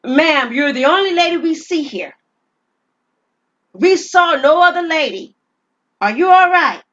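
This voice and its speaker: Woman playing a loud, high-pitched commanding police officer. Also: animated, a little slow, dull audio. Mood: loud concern. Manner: forceful, official.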